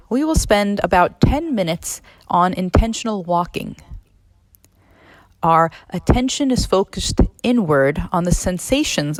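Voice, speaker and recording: A woman narrating a guided meditation as an instructor, in a measured, instructional way with pauses between phrases. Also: fairly low pitch, dry recording. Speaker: neurologist leading a guided meditation.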